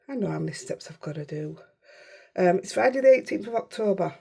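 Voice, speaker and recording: Croaky, hoarse woman with a Yorkshire accent. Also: on the low side, animated, a little fast, darkish tone. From Yorkshire, England. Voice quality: croaky, rough voice. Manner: chatty, rambling, self-interrupting. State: unwell, hoarse. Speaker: craft channel host. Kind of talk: casual crafting tutorial.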